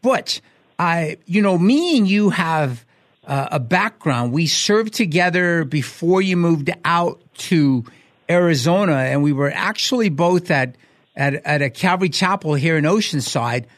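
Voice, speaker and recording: Upbeat pastor hosting a talk show. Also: male voice, a little high, animated, measured pace, no echo, studio quality. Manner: upbeat, enthusiastic. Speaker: host, pastor. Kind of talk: radio talk show chat.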